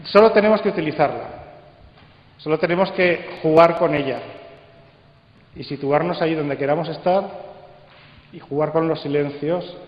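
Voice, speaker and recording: Spanish guy from spain giving a talk, highish voice, pausing between phrases, big echoey room. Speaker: speaker giving a talk on radio drama. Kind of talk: live presentation to an audience. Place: conference hall. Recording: dull sound, echoey hall with long reverb.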